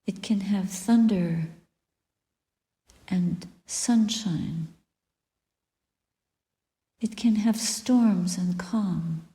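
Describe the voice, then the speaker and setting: A woman guiding a meditation as a Buddhist teacher, speaking softly and deliberately in short phrases with long pauses and breathy endings. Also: medium pitch. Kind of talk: guided meditation, spoken dharma reflection. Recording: echoey room with long reverb.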